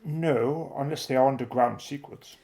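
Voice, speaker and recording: Man as gentleman inventor pilot, creaky voice, jaunty, unflappable, old-fashioned chummy diction, radio drama. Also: mid-range voice, moderate pace, natural voice. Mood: inquiring. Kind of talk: radio adventure drama acting.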